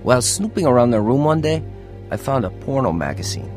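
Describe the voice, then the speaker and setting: A man's voice: medium pitch, big pitch swings, brisk, a little mellow, soft background music, natural voice. An actor playing an earnest man recounting his troubled youth in first-person radio drama narration. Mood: confessional.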